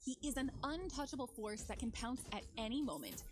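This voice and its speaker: A woman with a fairly high voice delivers scripted gameplay narration. She explains like a trailer narrator, with music playing underneath. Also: expressive, brisk. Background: background music.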